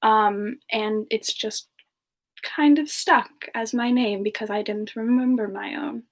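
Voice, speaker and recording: A sweet, bashful female demon singer, played by a woman, chats softly in semi-ASMR livestream style. Her voice sits fairly high and moves very slowly. She is gentle and hesitant, often sinking toward a whisper. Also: fairly even intonation. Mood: amused, shy.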